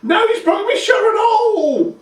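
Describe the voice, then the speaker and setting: Male reader putting on a shrill, shouting old peasant granny, toothless and cranky, echoey and distant. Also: sing-song, moderate pace. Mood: screeching angry. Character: screeching toothless old peasant grandmother, cantankerous. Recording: echoey room, voice from afar.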